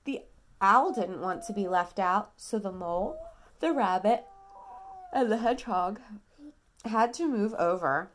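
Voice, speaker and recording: A woman in her thirties reading a picture book aloud to a toddler at home, dramatically, pausing to ask simple questions. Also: medium pitch, expressive, slight background noise. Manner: dramatic, childlike questioning and prompting. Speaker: parent reading a bedtime story to a toddler. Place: small quiet room at home.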